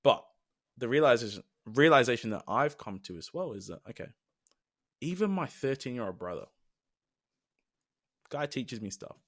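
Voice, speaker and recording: Young British man, podcast co-host, earnest and reflective, mid-pitched, given to long trailing sentences. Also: sing-song, normal speed, pauses often, fairly bright tone. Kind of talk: casual podcast chat.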